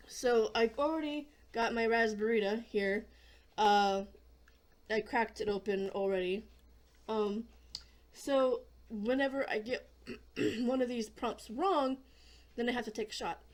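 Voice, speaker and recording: A hoarse woman hosting a casual gaming video, chatting in a rambling way and often clearing her throat. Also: fairly high pitch. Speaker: gaming channel host.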